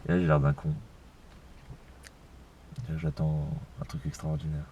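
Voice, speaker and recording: A young man, tipsy, joking and swearing as he reads aloud slowly in a car at night. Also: very deep voice, completely flat delivery, highs cut off, noisy background. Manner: joking, swearing, slow deliberate reading aloud. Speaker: vlogger. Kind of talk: tipsy casual chat.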